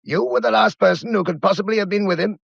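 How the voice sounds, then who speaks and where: Fast, highish, insistent English gentleman. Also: creaky, slightly rough, no echo, highs cut off, heavily acted. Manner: pressing, interrogating, clipped upper-class. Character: earnest, accusing English gentleman, hot-headed and insistent. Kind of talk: period radio drama acting.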